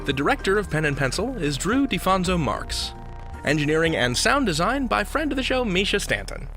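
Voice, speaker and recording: A man's voice: high voice, big pitch swings, brisk, bright, forceful tone. A man hosting a podcast in a scripted, conversational storytelling style, playful and theatrical in his emphasis. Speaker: podcast host. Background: music bed.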